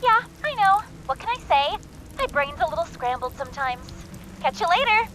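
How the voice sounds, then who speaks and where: A woman's voice: very high voice, very animated, moderate pace, a bit bright, slight background noise, full character voice, poor audio. An actress playing a quirky young woman sidekick in a video game, playful and chatty. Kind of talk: video game character acting.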